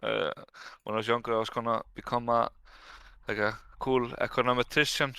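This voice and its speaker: Bright, crisp-voiced man from Iceland. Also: medium pitch, level tone, brisk, no room sound. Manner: easygoing, with frequent restarts. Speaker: guest, brand director. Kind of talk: casual interview answers.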